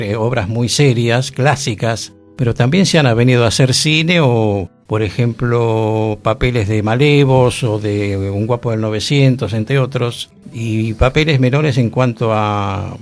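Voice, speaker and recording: Conversational middle-pitched radio man. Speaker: radio show co-host and actor. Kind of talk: cultural radio discussion.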